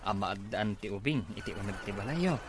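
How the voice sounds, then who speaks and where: A man's voice: on the low side, lively intonation, fairly quick, noisy background, lightly acted. An actor playing an easygoing, questioning male friend in a radio drama, speaking in a familiar manner. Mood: questioning, concerned. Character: questioning, easygoing male buddy of a rich man.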